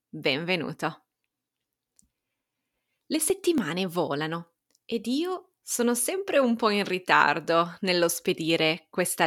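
Italian woman, newsletter reading, teacherly, very slow delivery, lively intonation, addressing the listener. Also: mid-range voice. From Italy. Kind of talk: reading a personal newsletter aloud.

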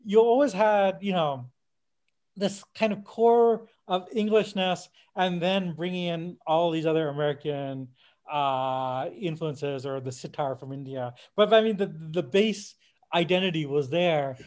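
Higher-voiced man, discursive podcast talker. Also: a little slow, a little mellow. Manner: discursive, hedging, peppered with "like" and "you know". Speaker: podcast speaker. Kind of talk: casual discussion of music history.

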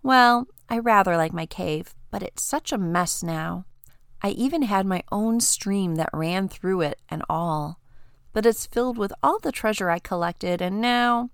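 A woman's voice: medium pitch, measured pace, smooth, no room sound, studio quality, close to an everyday voice. A woman voicing an ancient, weary female dragon with wry, haughty, archaic phrasing in a storybook reading. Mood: scheming, rueful.

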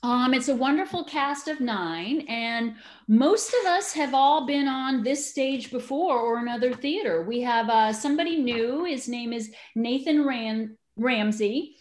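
A woman speaking as an enthusiastic, expressive interview guest, chatting casually about community theater. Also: a little high, unhurried, few pauses. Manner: enthusiastic, expressive big personality.

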